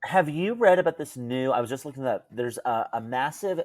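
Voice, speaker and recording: A woman's voice: extremely low pitch, lively intonation, fairly quick, a little mellow, no room sound. An American woman co-hosting a podcast in casual chat, reacting with wry, short quips. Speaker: podcast co-host.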